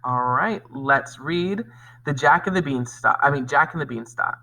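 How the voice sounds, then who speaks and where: A man's voice: medium pitch, normal speed, bright, forceful tone. A man teaching young pupils on video, speaking to them directly and reading aloud expressively. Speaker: elementary school teacher. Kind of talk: video lesson and read-aloud for children.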